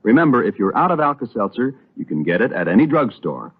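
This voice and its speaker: A man's voice: mid-range voice, very animated, fast, husky. An American man announcing a scripted sponsor message on old radio, polished, persuasive and emphatic. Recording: muffled, tinny old radio broadcast.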